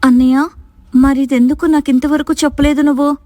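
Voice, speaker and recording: Anxious young sister, voiced by a woman, high-pitched. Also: flat delivery, brisk, warm tone, dry recording, studio quality, long reverb tail, lightly acted. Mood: questioning. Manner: urgent, insistent. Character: anxious young sister-in-law, urgent and suspicious.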